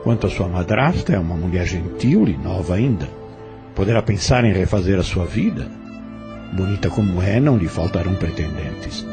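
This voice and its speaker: Man acting a gallant farm gentleman with lively intonation. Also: deep voice, normal speed, gravelly, over music. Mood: sincere, reflective. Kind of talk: audio novel dialogue.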